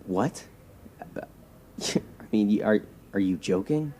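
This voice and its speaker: A man's voice: lowish voice, lively intonation, slow, warm tone, a little hiss or hum. An actor playing an awkward, eager-to-please young man in an audio drama, hesitant and bashful. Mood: incredulous.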